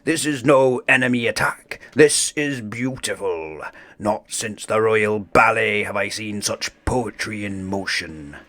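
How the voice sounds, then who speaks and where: A man's voice: lowish voice, measured pace, a bit bright. A man voicing a pompous, suspicious pirate admiral with melodramatic delivery in a children's storybook. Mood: awestruck.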